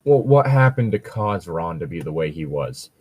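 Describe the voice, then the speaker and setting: A man's voice: low-pitched, very animated, moderate pace, a little mellow, slightly rough, dry recording. A man in casual commentary chat, opinionated and argumentative, swearing freely as he talks. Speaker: co-host reading and reacting to fan fiction.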